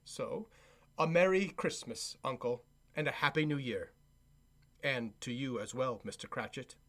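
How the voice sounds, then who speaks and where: An actor playing an earnest, kindhearted nephew in a radio play, speaking eloquently and pleadingly. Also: male voice, medium pitch, lively intonation, unhurried, a bit bright. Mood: pleading, sincere. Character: earnest, kindhearted nephew, good-humored gentleman.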